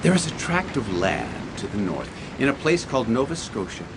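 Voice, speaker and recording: Comic radio play: grandiose inventor father, blunt and condescending, very lively intonation, noisy background. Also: mid-range voice, moderate pace. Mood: dreamy, enthusiastic. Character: pompous, teasing, visionary inventor father. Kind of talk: comic radio theatre acting.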